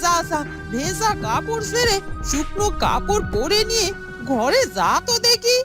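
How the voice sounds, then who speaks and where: A woman's voice: very high-pitched, sing-song, measured pace, heavily acted. A woman voicing a coaxing, sugary stepmother in a cartoon, drawing out her words. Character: stepmother speaking with coaxing, suspicious sweetness. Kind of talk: cartoon voice acting. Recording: loud music over the voice.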